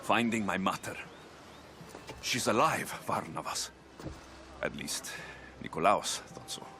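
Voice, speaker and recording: A low-voiced determined mercenary captain. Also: male voice, lively intonation, takes it slowly, slight background noise, exaggerated voice. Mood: earnest. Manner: firm and intent, quietly pressing. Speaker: game protagonist.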